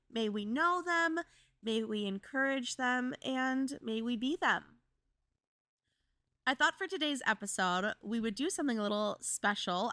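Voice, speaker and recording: A woman's voice: on the high side, sharp tone, no room sound. A woman in her thirties from Wisconsin hosting a podcast, a bit froggy and congested from a cold, chatty and upbeat as she reads stories aloud and adds asides. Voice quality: slightly froggy. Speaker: podcast host and boudoir photographer. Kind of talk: casual podcast chat, reading stories aloud.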